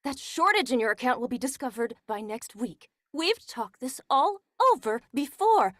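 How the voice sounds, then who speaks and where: A woman acts out a scheming wife in an old radio thriller. She is scornful and bossy, with a fairly high, very expressive voice in a dry recording. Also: normal speed, no room sound. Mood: impatient, scornful. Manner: scornful, commanding. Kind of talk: 1940s radio melodrama acting.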